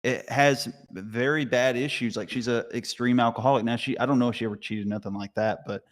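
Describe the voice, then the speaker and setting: A young man from Alabama talks in a loose, rambling way, full of fillers, with a light Southern accent. His medium-pitched voice sounds older than he is, and he keeps an earnest tone. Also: steady tone, a little fast. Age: young adult. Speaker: chat participant. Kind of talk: casual live stream chat.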